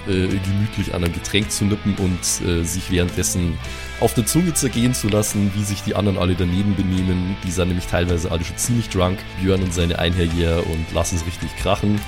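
A man's voice: low-pitched, steady tone, a little fast, over music. A man improvising narration as the game master of a tabletop audio play, addressing his players casually.

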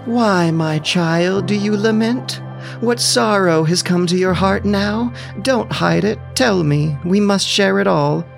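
A man's voice: high-pitched, takes it slowly, creaky, pitched above the performer's own voice, exaggerated voice. A man voicing a sorrowful, tender sea goddess mother, lamenting mournfully in an epic audio drama. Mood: tender concern. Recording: music behind the voice.

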